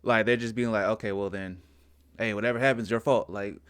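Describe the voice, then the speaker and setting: A man's voice: on the low side, fairly even intonation, a little fast, clean tone. A man hosting a reaction video, commenting casually in colloquial speech with an incredulous manner, repeating himself for emphasis.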